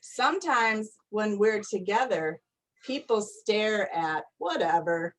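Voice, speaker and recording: A woman reading picture books aloud to young children, expressive and deliberate, often putting questions to her listeners. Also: medium pitch, poor audio. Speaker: storytime reader for young children. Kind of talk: animated picture-book read-aloud to children.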